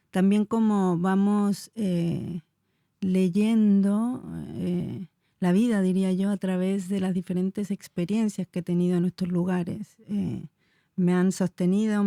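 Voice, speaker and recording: Woman from Chile, forties, slow hesitant speech, mellow low voice, dry close recording. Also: steady tone, dry recording. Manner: searching, hesitant, many fillers, soft laughs. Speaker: dance teacher and movement researcher. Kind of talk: thoughtful interview answers.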